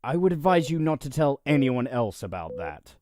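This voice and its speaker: An actor playing a courteous, probing settlement official in an audio drama, explaining things in a measured, reassuring way. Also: male voice, mid-range voice, sing-song, background noise, natural voice. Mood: surprised, friendly.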